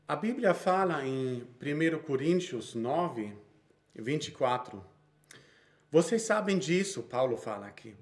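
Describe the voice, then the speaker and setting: A man's voice: on the high side, expressive, unhurried. A man in his thirties with a Brazilian Portuguese accent, preaching a sermon he reads from notes, with frequent small restarts.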